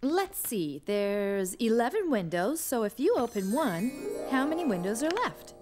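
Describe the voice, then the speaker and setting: Preschool cartoon mom: gentle, patient, encouraging, higher register, animated delivery. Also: female voice, a little slow, music in the background. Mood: patient, bright. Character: gentle, encouraging young mother of small children.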